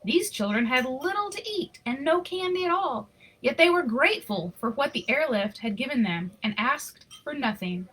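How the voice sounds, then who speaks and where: A woman reading a picture book aloud in an expressive storytelling style, with casual, informal asides. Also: high voice, normal speed, breathy edge, low-quality recording. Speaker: reader of picture books aloud.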